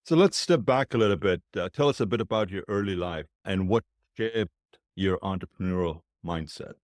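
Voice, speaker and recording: A man co-hosting a podcast, welcoming a guest and asking measured questions. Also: sits low, animated, dry recording, clean studio sound. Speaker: podcast co-host. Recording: intro over clear music.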